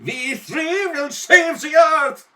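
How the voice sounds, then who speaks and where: A man's voice: very high-pitched, slow, pushed and bright, shouting, distant mic, soft background music, voice pushed up, exaggerated voice. A man voicing a mad, fanatical scientist, menacing and grandiose, in an old-time radio serial. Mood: manic, triumphant. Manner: maniacal cackling, ranting, grandiose.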